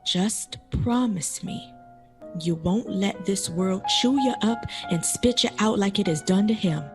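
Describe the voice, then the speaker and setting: A woman's voice: medium pitch, unhurried, music in the background, close to an everyday voice. A woman acting in an audio drama as a loving, insistent, long-suffering middle-aged mother of a grown son. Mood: pleading, firm.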